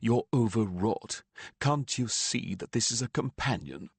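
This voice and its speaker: A man voicing a tall mourner who hisses urgently to calm an overwrought woman. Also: measured pace, husky, dry recording. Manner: hissing, low urgent. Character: tall grieving man, restraining and doubtful.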